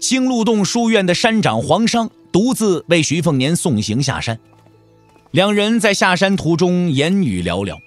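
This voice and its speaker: A man's voice: high voice, sing-song, normal speed, faint music behind. A man narrating an audio drama as a dramatic storyteller, with emphatic, theatrical phrasing.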